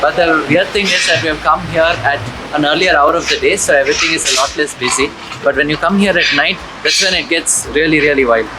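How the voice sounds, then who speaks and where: A man's voice: a little high, quick pace, over a noisy crowd, poor audio. A man giving casual, explanatory travel commentary as a vlogger outdoors. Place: outdoors on city streets and waterfront.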